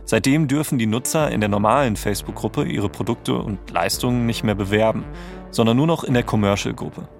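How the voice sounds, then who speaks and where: A man's voice: medium pitch, fairly quick, gravelly, soft background music. A man co-narrating a documentary podcast, giving scripted background explanations with a wry touch. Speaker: co-narrator adding background facts.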